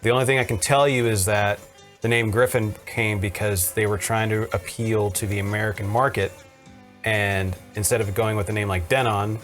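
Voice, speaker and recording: Low-voiced man explaining gear casually. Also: fairly even intonation, normal speed, a bit bright, faint music behind. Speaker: presenter showing vintage audio machines.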